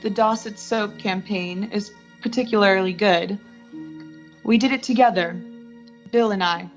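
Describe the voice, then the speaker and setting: A woman's voice: medium pitch, a little slow, highs cut off, soft background music, close to an everyday voice. A young woman acting an earnest, lovestruck, wounded heroine who narrates a 1940s-style radio suspense play. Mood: grim, resigned. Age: young adult. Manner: earnest, dramatic. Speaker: high school theatre student actor.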